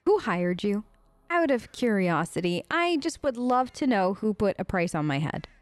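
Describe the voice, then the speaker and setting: A woman's voice: medium pitch, big pitch swings, moderate pace, dry recording. A woman doing playful, chatty gameplay commentary, sometimes speaking in character, with a mock-whiny touch. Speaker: gamer doing a role-play let's play.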